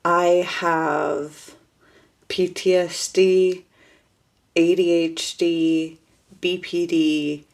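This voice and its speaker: Slow low-voiced monotone woman. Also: creaky. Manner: monotone, flat delivery; hesitant, many fillers and restarts. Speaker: vlogger introducing herself. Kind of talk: casual self-introduction.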